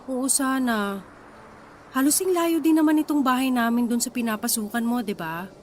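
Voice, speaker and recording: A woman's voice: on the high side, moderate pace, a little mellow, a little hiss or hum, lightly acted. An actress playing an anxious, suspicious pregnant wife who downplays her worries in a Filipino radio drama, with a casual, emotional delivery. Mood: hesitant, casual. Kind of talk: Tagalog radio drama acting.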